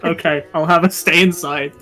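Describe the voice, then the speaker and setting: A game master improvising narration and chatting casually in hesitant, halting phrases. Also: mid-range voice, fairly even intonation, sharp tone, soft background music.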